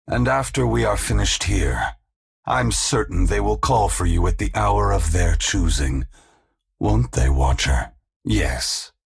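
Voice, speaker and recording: Ancient game god, very low, heavily creaky and rasping, solemn, gentle, remorseful, philosophical. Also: male voice, exaggerated voice. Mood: grave, calm. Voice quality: deep, gravelly, husky. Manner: slow, measured, grave and philosophical. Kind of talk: scripted video game character dialogue.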